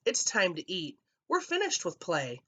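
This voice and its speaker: A woman's voice: fairly low pitch, wildly swooping pitch, unhurried, sharp tone, husky, exaggerated voice. Woman doing a playful, teasing dog. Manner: teasing, good-humored. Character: playful, friendly male pet dog.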